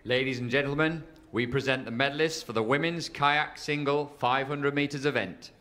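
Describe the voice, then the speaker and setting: A man announcing a medal ceremony in a formal, measured way. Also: mid-range voice, fairly even intonation, bright, forceful tone. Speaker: venue announcer.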